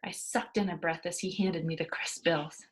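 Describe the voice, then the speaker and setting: A woman reads a children's story aloud in a lowish voice with a slight husk. Her delivery is expressive and emphatic, dropping into hushed moments for drama, like a teacher reading to pupils. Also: moderate pace, husky.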